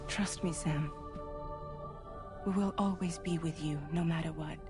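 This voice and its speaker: A devoted young woman comforting a grieving friend in a video game. Her voice is low for a woman, soft and pleading, often dropping to a breathy hush. A film score plays under her. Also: steady tone, unhurried. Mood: tender. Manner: gentle, pleading and reassuring. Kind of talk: video game cutscene acting. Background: music score.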